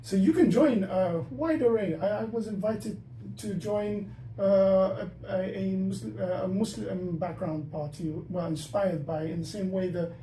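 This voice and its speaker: A man's voice: high voice, measured pace, dark tone. A young man hosting a podcast, talking through his thoughts with many restarts. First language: not Dutch. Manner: talks in bursts with many restarts. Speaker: podcast host. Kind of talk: casual discussion. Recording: echoey room, mic some distance off, faint noise.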